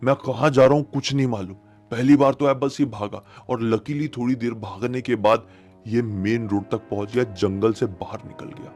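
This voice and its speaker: A man's voice: mid-range voice, fairly even intonation, normal speed, a little mellow. A man narrating a horror story in a conversational, suspenseful way, repeating phrases for emphasis. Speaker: horror storyteller, channel host. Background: music.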